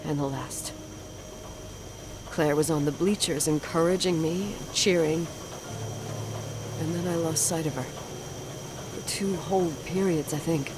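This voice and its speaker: An actress playing a distraught grown woman haunted by a repressed memory, speaking in a hushed, halting, anguished way in a dramatic video game. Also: low voice. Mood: bitter, hushed. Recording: clearly noisy ambience behind the voice.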